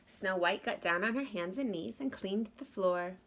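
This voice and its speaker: A woman's voice: medium pitch, normal speed, fairly bright tone, slight background noise. A woman reading a children's story aloud expressively, as if to young listeners. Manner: addresses young listeners with questions. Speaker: storybook reader.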